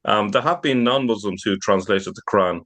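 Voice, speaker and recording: A man's voice: on the low side, fairly quick, a bit bright. A man hosting an interview, welcoming his guest and asking casual questions. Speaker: interview host.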